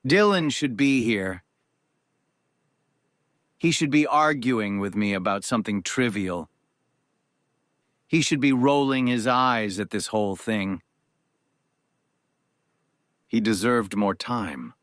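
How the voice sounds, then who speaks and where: An actor playing a grieving, restrained uncle who gives a solemn, measured funeral speech in an audio drama. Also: male voice, fairly low pitch, a bit bright, no echo. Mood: solemn grief.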